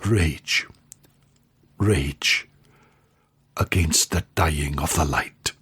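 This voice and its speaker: A Welsh man with a gravelly voice, a storyteller giving a dramatic reading of a poem. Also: rumbling low, a bit bright. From Wales. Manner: slow, weighty delivery.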